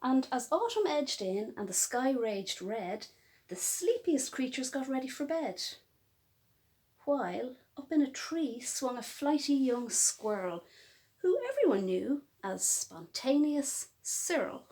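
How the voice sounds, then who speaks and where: Female children's storyteller: high voice, unhurried pace, dramatic emphasis on rhyming verse. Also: very animated, mellow. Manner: dramatic, emphatic, with drawn-out words and pauses. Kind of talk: expressive picture-book storytelling in rhyme.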